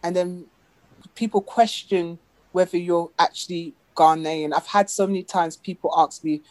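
A woman with a British accent from England, a lively guest telling stories in a casual interview and acting out the voices of the people she quotes. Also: on the low side, moderate pace, no echo. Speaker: guest, animator.

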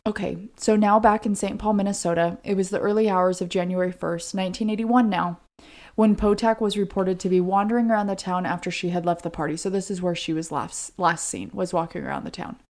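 A woman's voice: mid-range voice, steady tone, brisk, a little mellow. A woman co-hosting a podcast, telling a true-crime story in a conversational way. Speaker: podcast co-host.

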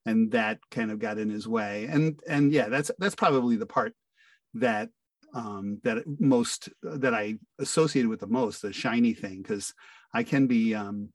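A man's voice: on the low side, unhurried, no echo, recorded from a distance. An older man with an American accent, chatting casually as an interview guest in a hesitant, self-deprecating way. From northeastern United States. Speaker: interview guest, amateur-turned voice actor.

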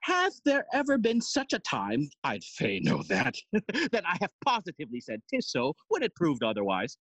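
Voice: mid-range voice, wildly swooping pitch, moderate pace, fairly bright tone, husky, no echo, full character voice, poor audio. An actor playing a fussy, long-winded old male counsellor in an audio play, theatrical and forever interrupting their own speech. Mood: self-important. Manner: rambling, theatrical, self-interrupting. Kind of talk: Shakespearean stage acting in audio play.

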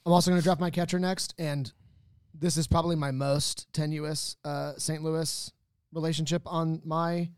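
A man's voice: fairly high pitch, little pitch movement, normal speed, clear, resonant tone, dry recording, studio quality. A man co-hosting a podcast with casual comedic banter in a wry, mock-serious manner. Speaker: podcast co-host.

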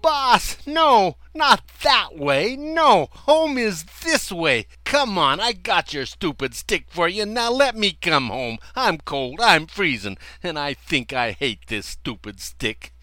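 A man's voice: high voice, sing-song, slow pace, hardly any pauses, sharp tone, no room sound, voice pushed up, full character voice. Man doing a frantic whiny dog. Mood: frantic whining. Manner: frantic, stammering, whiny complaining. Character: panicky, indignant male dog in icy river.

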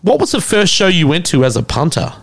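A man with an Australian accent hosting a podcast, swearing freely and laughing as he tells animated stories. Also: a little high, a little fast, vocal fry. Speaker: podcast host. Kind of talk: casual chat.

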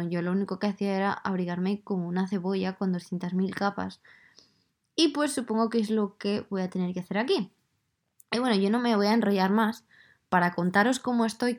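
A woman from Madrid with a slightly softened Madrid Spanish accent, hosting a casual solo podcast in a chatty way that speaks straight to listeners. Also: mid-range voice. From Madrid, Spain. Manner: chatty, conversational, addresses listeners directly. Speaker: podcast host.

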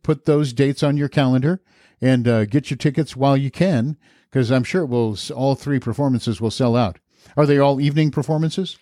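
A man's voice: mid-range voice, warm tone, no room sound. Male podcast host, close dry sound. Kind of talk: conversational interview.

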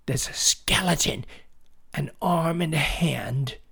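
A frightened young boy in an audiobook story, performed by a grown man, pitched up high. He is urgent and insistent, stumbling over his words in breathless excitement. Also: takes it slowly, vocal fry, slightly rough, exaggerated voice. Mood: hushed, shaken. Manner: urgent, stammering, gasping exclamations.

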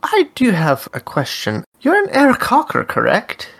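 A woman playing a dream-summoning host who asks friendly, measured questions. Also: medium pitch, wildly swooping pitch, creaky, breathy edge, exaggerated voice. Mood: curious. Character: Rue, a dream-summoning interviewer. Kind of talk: tabletop character interview.

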